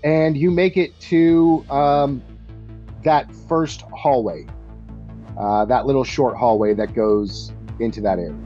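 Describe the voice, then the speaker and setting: A man acts as game master with a mellow, unhurried delivery, pausing often as he improvises the scene. His voice sits at a middling pitch. The sound is slightly dull and lo-fi, with music behind him. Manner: halting, pauses between phrases. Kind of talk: improvised tabletop game narration.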